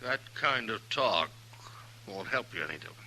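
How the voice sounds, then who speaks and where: An actor playing a scheming, cowardly American prisoner in a 1950s radio western, evasive and halting on a noisy old recording. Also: male voice, fairly low pitch, lively intonation, bright, forceful tone. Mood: defiant, evasive. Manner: slippery, halting, pleading. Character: jailed scheming villain, evasive, cowardly under pressure.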